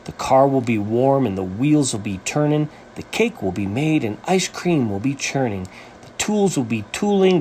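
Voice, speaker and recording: A man reading a rhyming children's story as its author, with an animated, sing-song rhythmic delivery. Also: medium pitch, slight background noise.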